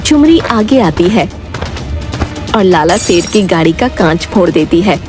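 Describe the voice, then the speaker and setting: A woman narrating an animated moral tale as scripted storytelling. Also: on the low side, measured pace. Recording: music behind the voice.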